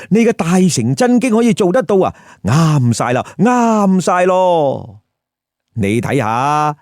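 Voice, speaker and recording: A man's voice: sits high, darkish tone, no echo, clean studio sound. A man with a Hong Kong Cantonese accent telling a story with colloquial, emphatic commentary and rhetorical questions. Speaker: storyteller host.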